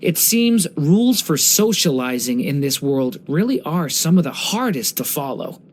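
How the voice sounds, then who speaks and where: A man voicing a young dragon boy in human form for a video game, speaking gently, politely and earnestly, like someone telling a story. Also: fairly high pitch, very animated, moderate pace, slight background noise. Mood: gentle, earnest. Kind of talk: English video game character voice lines.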